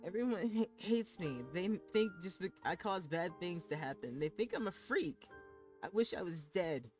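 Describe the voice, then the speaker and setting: A man's voice: fairly high pitch, fairly even intonation, a little fast, close to an everyday voice. A man doing a battered young girl who has given up hope. She pleads tearfully in a raised voice. Music runs behind the reading. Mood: despairing. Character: hurt young girl, despairing.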